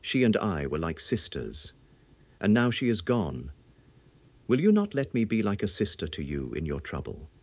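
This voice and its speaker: British man playing tender young Englishwoman, sisterly, pleading, formal, low register, lively intonation, audiobook, slightly dull recording. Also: normal speed, dry recording.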